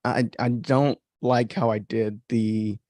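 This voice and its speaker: A man's voice: medium pitch, steady tone, slow, darkish tone, no room sound, studio quality. A man hosting a casual livestream commentary on his own work as an author, thinking aloud in an informal, self-critical way. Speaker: author and podcast host.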